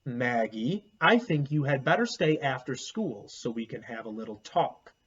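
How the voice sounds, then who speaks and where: An upbeat, patient woman schoolteacher from a children's storybook, played by a man. The delivery is instructive and swings in pitch, with a husky tone. The recording is slightly dull. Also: very animated. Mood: patient, reproving. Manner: deliberate, instructive. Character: upbeat, patient woman third-grade teacher.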